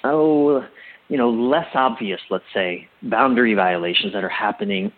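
A middle-aged man speaking reflectively as an interview guest, with a husky voice, often halting and correcting himself with emphasis. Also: mid-range voice, animated, phone-quality sound. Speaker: interview guest, relationship coach.

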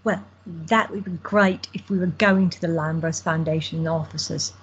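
A woman's voice: sits low, fairly even intonation, normal speed, lo-fi sound, slight background noise, natural voice. An actress playing a playful young woman who teases her girlfriend, in an audio drama. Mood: teasing. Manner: playful, teasing. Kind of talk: amateur audio drama acting.

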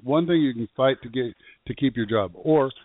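A man's voice: medium pitch, moderate pace, no room sound. A man co-hosting a call-in radio show as a psychic medium, giving blunt, direct readings to callers and stumbling over his words as he starts. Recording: tinny phone-line sound.

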